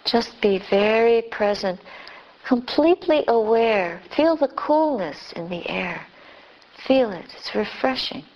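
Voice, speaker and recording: A woman leading a guided meditation as a teacher, speaking gently and unhurriedly in short phrases with long pauses. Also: on the high side, lively intonation, highs cut off. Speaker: Buddhist nun, meditation teacher.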